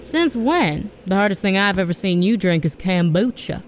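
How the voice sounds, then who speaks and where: A woman's voice: mid-range voice, expressive, close to an everyday voice. Sardonic space-mission leader, played by a woman. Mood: teasing. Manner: sardonic, brisk. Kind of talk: audio drama acting. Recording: noisy background ambience.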